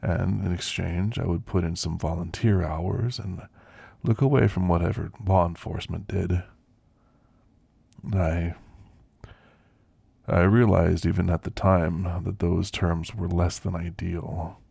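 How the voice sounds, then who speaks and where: Man acting a deep, gravelly old werewolf. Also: steady tone, warm tone, no echo, exaggerated voice. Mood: wistful, reflective. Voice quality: gravelly, husky. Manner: slow, understated, reminiscing storyteller. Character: elderly male werewolf, weathered, wry, reflective, scrappy. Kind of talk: ASMR roleplay monologue. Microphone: close, dry microphone.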